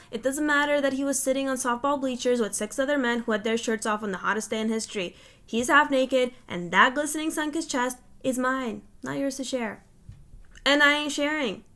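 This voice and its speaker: A woman reading a humorous personal essay aloud in an expressive, emphatic, mock-stern way. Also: fairly high pitch.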